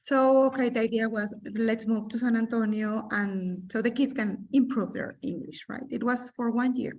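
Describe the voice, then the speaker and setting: A woman's voice: on the high side, moderate pace, distant mic. Mexican-born woman telling her story remotely. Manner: animated, searching for words with many fillers. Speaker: interview guest, businesswoman. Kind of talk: personal storytelling interview. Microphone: remote video call.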